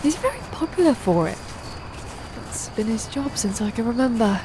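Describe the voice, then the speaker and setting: A woman acting a young, sardonic and guarded daughter in a naturalistic audio drama, with dry, deadpan teasing. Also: on the high side, normal speed. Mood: wry, bitter. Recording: noticeable background noise and ambience.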